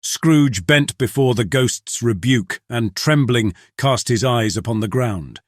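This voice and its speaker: A man narrating a scripted story as an audiobook, in a measured storytelling manner. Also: medium pitch, expressive, no room sound, clean studio sound.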